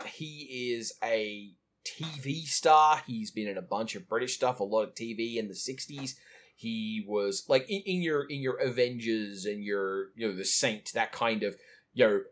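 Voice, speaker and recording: A man co-hosting a casual podcast about an animated film, talking in a chatty, enthusiastic way. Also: medium pitch. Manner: chatty, enthusiastic, acts out quotes. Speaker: podcast co-host.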